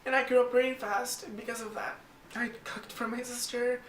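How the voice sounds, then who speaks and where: A young man from the US South with an American accent, talking informally and a little haltingly about himself in a candid documentary interview. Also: very high-pitched, level tone, fairly bright tone. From US South, Virginia and North Carolina. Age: young adult. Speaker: interviewee, model. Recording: distant roomy mic, faint noise.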